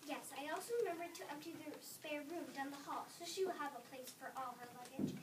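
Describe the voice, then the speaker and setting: A woman's voice: high-pitched, brisk, noisy background, lightly acted. A woman playing a dutiful, efficient castle servant in amateur readers' theater. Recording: echoey room, distant mic, crowd behind.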